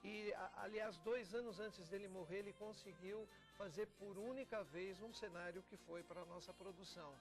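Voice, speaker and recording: A Brazilian man from a theatre company giving an explanatory TV interview, often pausing and repeating words as he goes. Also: high voice, fairly even intonation, over music. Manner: explanatory, with frequent pauses and repeats. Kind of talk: TV interview talk.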